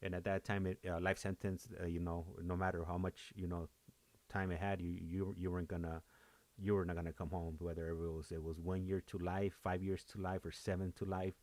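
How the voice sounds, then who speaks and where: Deep male host, explanatory and filler-heavy, dry close recording. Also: flat delivery, a little fast, a little mellow, no echo. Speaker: show host. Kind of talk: live show narration.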